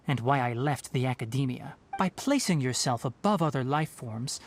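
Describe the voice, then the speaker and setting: A male actor playing a thoughtful, principled young forest ranger in a video game, speaking in a measured, articulate way. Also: medium pitch, a little hiss or hum, lightly acted. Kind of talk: video game dialogue acting.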